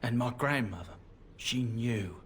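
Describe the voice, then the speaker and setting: A troubled warrior companion in a fantasy game. He speaks earnestly in a husky voice of medium pitch. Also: a little slow, fairly bright tone. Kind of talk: fantasy video game acting.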